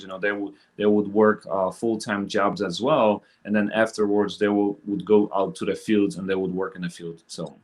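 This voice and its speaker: Middle-aged man, fairly low voice, interview guest, casual personal storytelling. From Poland, later Chicago from age twelve. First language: Polish. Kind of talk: casual personal recollection in conversation.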